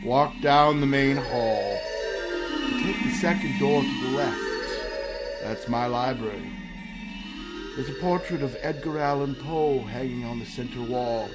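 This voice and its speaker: A man's voice: mid-range voice, measured pace, over loud music, close to an everyday voice. An actor playing an anxious, gullible wealthy man in a melodramatic radio mystery. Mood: entranced, sleepy. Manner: fretful, melodramatic delivery. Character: anxious, gullible wealthy male diamond collector in therapy. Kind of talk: radio mystery drama acting.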